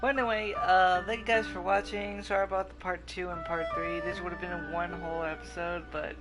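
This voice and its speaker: A man's voice: high-pitched, level tone, brisk, poor audio. A man doing casual livestream commentary as a game streamer, rambling and hesitant as he talks to viewers. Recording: loud game music behind voice.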